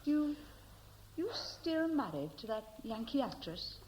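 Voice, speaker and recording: An actress playing a friendly, nosy, teasing barmaid with a Welsh accent in a 1960s radio play. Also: female voice, on the high side, sing-song, takes it slowly, darkish tone. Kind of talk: 1960s radio drama acting.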